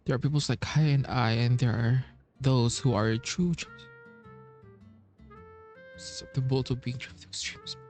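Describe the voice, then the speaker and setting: A man giving casual playthrough commentary as a game streamer, halting as he thinks aloud. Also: mid-range voice, fairly even intonation, darkish tone. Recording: game music behind voice. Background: video game music.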